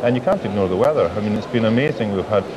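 A middle-aged male politician interviewed at an election count hall, answering in a measured way with many fillers. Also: fairly low pitch, animated, crowd noise. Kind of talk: live election night interview. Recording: dull broadcast audio with hall noise.

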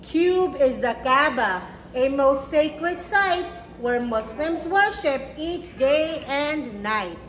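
A woman with a high voice reads to young children as a storytime teacher. She speaks slowly and simply, with an encouraging manner. The recording is echoey, as in a roomy space. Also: animated. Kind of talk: read-aloud of a picture book for children. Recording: roomy echo with faint background noise.

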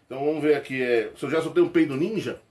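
A man's voice: a little high, fast talker. Jokey laughing comedian man, close webcam. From Rio de Janeiro, Brazil. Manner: animated, jokey, laughs often, slangy. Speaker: comedian answering viewer questions. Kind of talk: casual chat to camera. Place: small indoor room with toy shelves. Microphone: webcam close to face.

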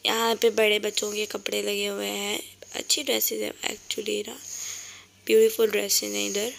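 Hoarse, gravelly woman chatting to viewers. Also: mid-range voice, flat delivery, moderate pace, fairly bright tone. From Pakistan. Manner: cheerful, chatty, points things out to viewers. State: sore throat. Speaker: vlogger. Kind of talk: casual shopping vlog narration. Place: voice-over recorded later, indoors.

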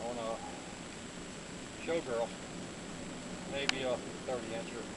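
A man commentating to the camera while fishing from a small boat on a river, excited and laughing. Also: mid-range voice, slow pace. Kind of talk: casual on-the-water commentary. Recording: loud wind and engine noise.

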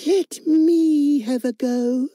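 A woman voicing an eager, dramatic female snake with a hissing, drawn-out delivery in a children's story. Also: sits high, dark tone, dry recording. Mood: eager, showy.

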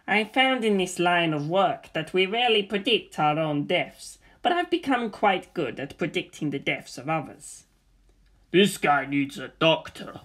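A voice reading a screenplay aloud in a flat, deadpan way, stage directions and dialogue alike. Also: medium pitch. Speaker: script reader.

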